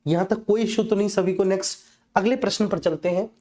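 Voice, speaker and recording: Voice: medium pitch, fairly even intonation, brisk, a little mellow. A teacher giving an online exam-prep lesson, explaining to students, often repeating phrases and checking that they follow.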